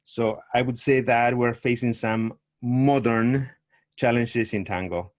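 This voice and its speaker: A middle-aged man speaks over a video call, at an ordinary mid pitch. He talks in a measured, thoughtful way, pausing often with fillers as he explains his teaching. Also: steady tone. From Argentina. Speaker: tango teacher, guest. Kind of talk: online summit interview, presenting.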